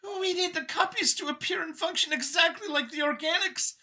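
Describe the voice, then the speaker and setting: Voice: extremely high pitch, brisk, bright, forceful tone, voice pushed up, exaggerated voice. A narrator voicing a small female alien mouse scientist in an audiobook, chirpy, slightly hesitant and chatty as she explains. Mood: explaining, upbeat.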